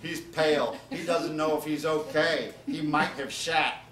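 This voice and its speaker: A man's voice: a little high, fairly even intonation, measured pace. A man reading comedic stories aloud as an author at a live event, with informal asides to his listeners. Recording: roomy, distant, noisy live event recording.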